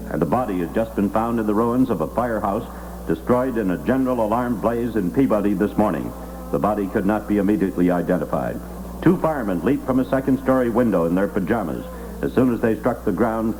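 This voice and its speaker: A man's voice: fairly low pitch, darkish tone, a little hiss or hum, low-quality recording. A man working as a radio newscaster, reading scripted news on a 1960s AM broadcast.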